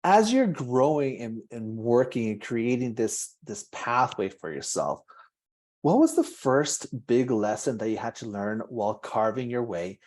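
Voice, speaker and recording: A man hosting a podcast interview, asking measured questions and giving brief affirmations. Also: medium pitch, very animated, a little mellow. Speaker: podcast host.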